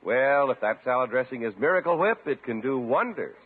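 A man's voice: mid-range voice, animated, fairly quick, husky. A man announcing in scripted 1950s radio style, presenting credits and sponsor copy. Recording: muffled 1950s radio, music and audience.

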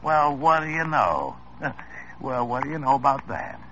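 An actor playing a smooth-talking used car dealer with folksy sales patter. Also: male voice, mid-range voice, very animated, unhurried, over loud music. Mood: salesy. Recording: muffled old radio recording with faint hiss.

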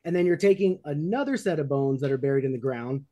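A man's voice: on the high side, fast talker, dark tone, lo-fi sound. A man arguing in a debate, firm and skeptical, often cutting in to object. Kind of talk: live debate argument.

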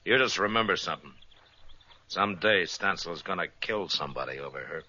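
A man playing a steady, plain-spoken frontier marshal with an American accent and firm authority, in an old radio Western. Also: low voice, fairly quick, husky, full character voice. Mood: measured, wry. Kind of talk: 1950s radio Western acting. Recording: dull, hissy old radio recording.

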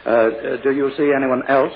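A man playing an insistent, self-satisfied prosecutor who questions in a halting, courtly, officious way, as in 1940s radio drama. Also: mid-range voice, fairly even intonation, creaky, natural voice. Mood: probing, controlled. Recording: dull, noisy old-time radio recording.

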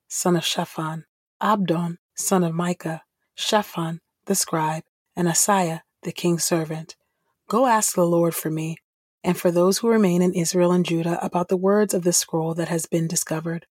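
Woman reading bible passages for a podcast, lowish husky voice, very dry sound, measured and formal. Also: a little mellow, no echo. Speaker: Bible reader and podcast host. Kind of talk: scripted scripture reading.

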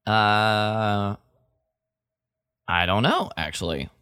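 A man's voice: fairly low pitch, extremely slow, sharp tone, clear, resonant tone, dry recording. A man chatting casually as a podcast co-host. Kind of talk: casual chat.

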